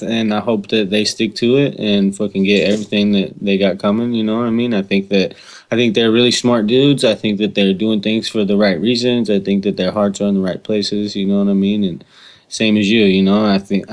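A man co-hosting a podcast, chatting casually and earnestly, repeating himself and swearing. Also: lowish voice. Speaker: podcast co-host. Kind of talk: casual chat.

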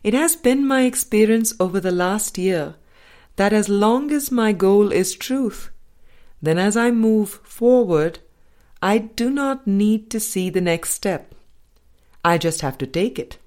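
A woman reading a spiritual book aloud as an audiobook narrator, in measured, deliberate phrases with pauses. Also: medium pitch, animated, darkish tone. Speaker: audiobook reader.

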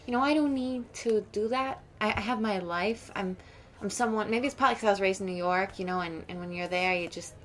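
A woman's voice: medium pitch, a little fast, a little hiss or hum, close to an everyday voice. A woman playing a snobbish, judgmental wealthy school mother in an improvised radio play, rambling on with boastful opinions. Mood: condescending.

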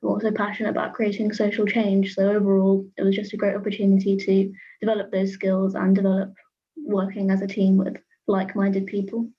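Flat, breathy young woman student. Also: mid-range voice, a little fast, dull audio. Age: young adult. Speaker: politics student. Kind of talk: casual discussion.

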